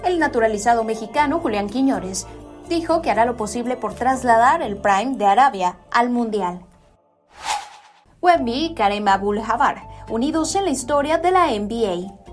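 A woman reading scripted sports headlines in a brisk announcing manner. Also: a little high, expressive, over music.

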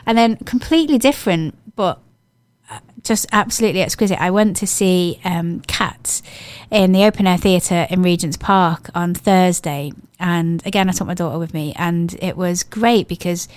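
A woman with an English accent presenting a radio show, her voice croaky and hoarse from a cold, chatting in a gushing, rambling way. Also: on the low side, normal speed, a little mellow, dry recording. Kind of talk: casual radio chat.

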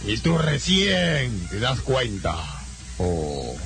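A man on a comic radio show speaks in a slow, drawn-out, mock-commanding way. His pitch swings widely, and music plays loudly behind him. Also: wildly swooping pitch.

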